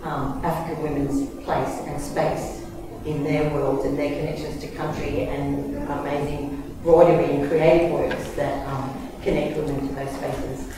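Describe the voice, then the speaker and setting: A woman's voice: low-pitched, level tone, unhurried, noisy background. A middle-aged woman with an Australian accent, introducing a guest speaker at a university event in a casual, chatty way with many fillers and self-corrections. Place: large university lecture hall. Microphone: lectern microphone, recorded from far back. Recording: echoey hall from far off.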